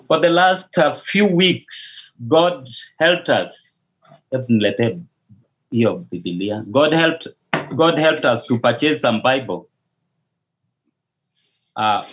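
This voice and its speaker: A Kenyan pastor talks in a slow, deliberate way, often pausing and restarting. The sound is dull and lo-fi. It comes over a video call. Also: male voice, medium pitch, fairly bright tone. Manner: slow, measured, with hesitations and restarts. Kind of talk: casual video call interview.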